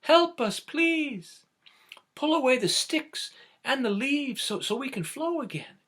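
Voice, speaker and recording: A man voicing the water of a clogged creek in a storybook tale, pleading for help in a high, airy manner. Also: sing-song, slow, sharp tone, full character voice.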